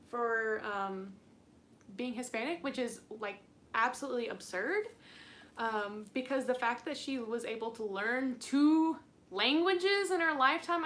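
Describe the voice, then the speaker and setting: A woman's voice: fairly high pitch, a bit bright, a little hiss or hum. A young woman hosting a casual live stream, chatting in a bubbly, rambling way with frequent giggles and lots of "like". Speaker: plant channel host.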